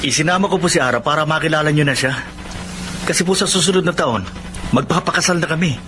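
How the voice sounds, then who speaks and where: Actor as dutiful Filipino son, noisy recording. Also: male voice, on the high side, fast, lightly acted. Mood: pleading, respectful. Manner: respectful, earnest, conciliatory. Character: dutiful, apologetic adult son and breadwinner. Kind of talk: Filipino broadcast drama acting. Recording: clearly noisy, background ambience.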